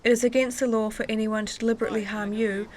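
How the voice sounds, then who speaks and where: A woman narrates civic information from a script. Her voice sits fairly high, and she reads in a plain, even manner. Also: quick pace.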